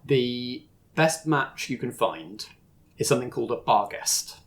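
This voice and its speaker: A man's voice: mid-range voice, animated, unhurried. Man narrating as game master. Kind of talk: narrating a tabletop role-playing game.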